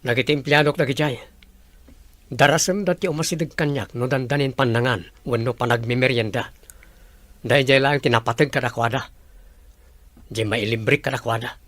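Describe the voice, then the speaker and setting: A man's voice: medium pitch, fairly even intonation, normal speed, long pauses, a bit bright, no room sound, lightly acted. A man with a Filipino accent acting an earnest young man who wants to help, in a radio drama.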